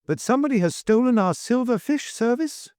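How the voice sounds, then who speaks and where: A man's voice: extremely high pitch, animated, brisk, a little mellow, no echo, clean studio sound, voice pushed up. A man voicing a stiff military colonel in a storybook, harsh and raucous, issuing rigid commands. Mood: stern demand. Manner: rigid, barking orders.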